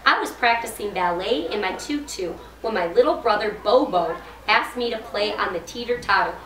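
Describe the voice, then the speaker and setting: A woman's voice: mid-range voice, expressive, moderate pace, a bit bright, natural voice. A woman voicing a nervous, earnest little schoolgirl in a storybook, timidly recounting things. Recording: noisy echoey room, far from mic.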